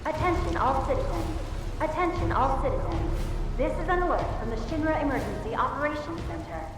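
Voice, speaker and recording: Formal emergency alert lady on city loudspeakers, super echoey and far away, game voice. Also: sits high, sing-song, noisy background. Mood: formal, urgent. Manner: formal, measured. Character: formal, urgent female emergency broadcast announcer. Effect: public-address loudspeaker. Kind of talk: public emergency announcement. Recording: distant, echoing loudspeaker with music behind.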